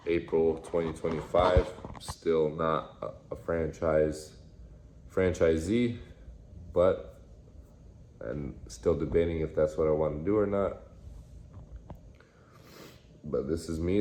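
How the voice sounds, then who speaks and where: Deep-voiced young man vlogging in an echoey room. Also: mellow. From United States. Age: 20s. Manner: reflective, halting, repeats phrases. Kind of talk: casual vlog monologue. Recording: echoey room with faint noise.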